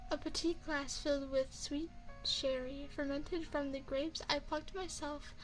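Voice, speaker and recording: A woman's voice: high-pitched, fairly even intonation, measured pace, soft background music, poor audio. A woman voicing an elegant, seductive vampire chef in an ASMR roleplay, speaking formally with refined, teasing poise, close to the microphone. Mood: gracious, poised. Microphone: close binaural microphone.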